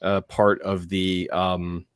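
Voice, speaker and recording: A man with a low, deep voice talks casually as a panelist, stopping to correct himself as he goes. The sound is close and dry, with very little room in it. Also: monotone, slow pace, smooth, no echo. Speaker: panelist and podcaster.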